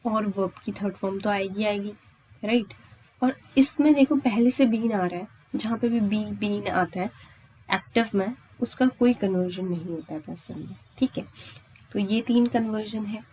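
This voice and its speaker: A woman's voice: mid-range voice, moderate pace, mellow, a little hiss or hum, low-quality recording. An Indian woman teaching grammar step by step, repeating points and answering her own questions with frequent check-in tags. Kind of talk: exam-prep lesson at a board, explaining step by step.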